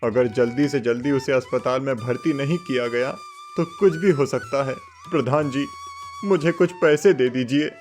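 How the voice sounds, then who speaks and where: A man's voice: mid-range voice, normal speed, a little mellow, close to an everyday voice. A man voicing a humble, kind, desperate village father in a cartoon moral story, earnest and pleading. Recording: music bed under the voice.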